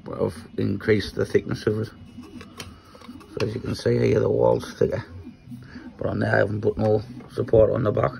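A man from Newcastle with a Geordie accent and a croaky voice, casually talking through his workshop project while filming on a handheld phone in a small shed. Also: fairly low pitch, little pitch movement, normal speed, pauses often, darkish tone, slight background noise, poor audio. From Newcastle, England. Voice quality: croaky, gravelly voice. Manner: rambling, explains while handling parts. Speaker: maker vlogger.

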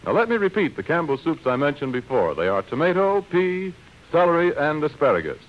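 A man's voice: on the high side, sing-song, a little fast. Old time radio announcer guy, very up and down delivery, muffled 1930s broadcast. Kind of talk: 1930s scripted radio announcing and sponsor pitch.

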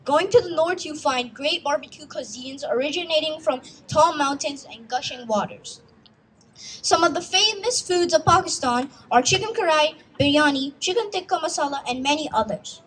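High-voiced young speaker, earnest recital. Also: female voice, animated, moderate pace, a bit bright, slight background noise, poor audio. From United States. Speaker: youth speaker. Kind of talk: prepared speech read aloud. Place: outdoor stage in a park.

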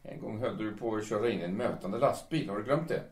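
A man's voice: fairly low pitch, close to an everyday voice. A man playing an earnest, lovestruck middle-aged editor in an audio drama, speaking in formal, hesitant phrases. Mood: patient, fond. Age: late 30s. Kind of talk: audio drama dialogue in Swedish. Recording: echoey room.

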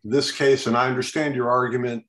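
Middle-aged male judge, measured and precise, roomy video call sound. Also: mid-range voice, little pitch movement, a bit bright. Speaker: appellate judge. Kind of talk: questioning counsel in a remote oral argument. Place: indoor office, video call.

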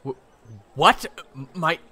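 A man playing a kind, casual, gently teasing young man in an audio drama. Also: on the high side, slow, husky, exaggerated voice. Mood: baffled.

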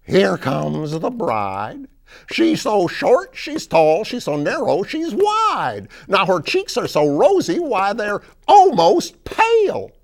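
A cheerful, folksy bridegroom frog pitches his voice very high and swoops through rhymes in a singsong drawl. He is a proud, loud poet from a puppet-style children's story. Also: huge pitch swings, takes it slowly, gravelly, heavily acted.

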